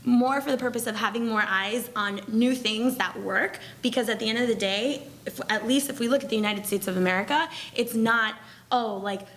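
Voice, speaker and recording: A woman's voice: fairly high pitch, normal speed, a bit bright, a little hiss or hum. A woman speaking as a panelist, talking in a chatty, loose way full of fillers and restarts. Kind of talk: panel discussion.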